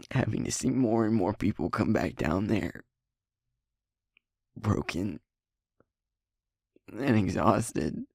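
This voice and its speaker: A man playing a guilt-ridden young werewolf boyfriend in an intimate audio roleplay, speaking haltingly and rawly in a husky, creaky, breathy voice. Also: lowish voice, darkish tone, dry recording, studio quality, heavily acted. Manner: halting, emotionally raw, broken-up phrases.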